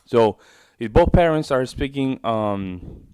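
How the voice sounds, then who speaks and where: Brief: mid-pitched male reaction host, loose and chatty, dry booth-like sound. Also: expressive, takes it slowly, a little mellow, clean tone, no echo. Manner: chatty, rambling, repeats himself, informal "bro". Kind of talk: casual reaction commentary.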